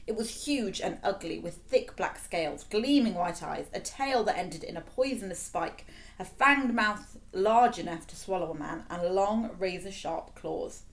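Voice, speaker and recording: A woman narrating a children's story in an expressive read-aloud style. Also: mid-range voice, measured pace. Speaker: story reader.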